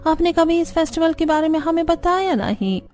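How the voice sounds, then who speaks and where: A woman's voice: very high-pitched, expressive, normal speed, a little mellow. A woman voicing a friendly, enthusiastic neighbour in a cartoon, gushing and mixing in English. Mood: friendly enthusiasm. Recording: music bed under the voice.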